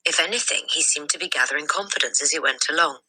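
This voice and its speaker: A woman narrates a children's story with expression. Her voice is low for a woman and rough-edged, yet strikingly bright and forceful. She reads as a storyteller. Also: fast. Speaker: storybook reader. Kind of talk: expressive scripted read-aloud of a children's story.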